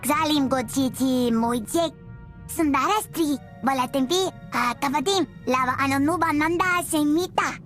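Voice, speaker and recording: A female alien in a video game. Her voice is high and runs through an invented tongue, with faint music behind. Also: normal speed, fairly bright tone. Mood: intent.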